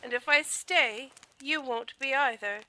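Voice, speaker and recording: A woman's voice: high voice, a little slow, pushed and bright, poor audio. A woman playing a chatty, tender young noble lady in an audio drama. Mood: earnest.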